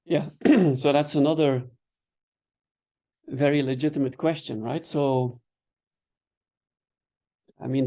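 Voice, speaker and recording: Mellow-voiced man lecturing. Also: medium pitch. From Belgium. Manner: relaxed, explanatory, frequent "right?" tags and repeated words. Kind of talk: online webinar lecture answering questions.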